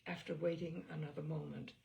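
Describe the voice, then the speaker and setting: A woman reading a classic novel aloud as an audiobook narrator, in an expressive storytelling style. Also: sits low, fairly quick, creaky.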